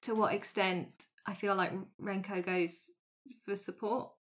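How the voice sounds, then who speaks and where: Woman, average pitch, chatting casually with her roleplay group. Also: fairly even intonation, unhurried. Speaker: tabletop roleplay player.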